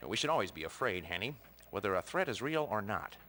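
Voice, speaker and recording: An actor playing an alarmist chicken expert, deadpan and pedantic, in a video game's parody news interview. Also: male voice, on the low side, fast, slight background noise. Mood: earnest alarmism.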